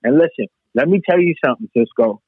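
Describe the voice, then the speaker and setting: A man's voice: medium pitch, lively intonation, fast, husky, no echo. Over a narrow, dry phone-like connection, a man debates casually in a mid-range voice. He is emphatic and slangy, cutting in to make his points. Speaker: podcast guest. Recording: thin phone-like line, dry.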